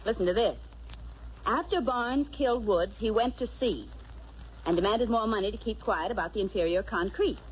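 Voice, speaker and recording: An actress playing a brisk, wry, loyal detective's assistant, in 1940s radio drama style. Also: female voice, medium pitch, lightly acted. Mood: deliberate, triumphant. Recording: noisy, muffled old radio recording.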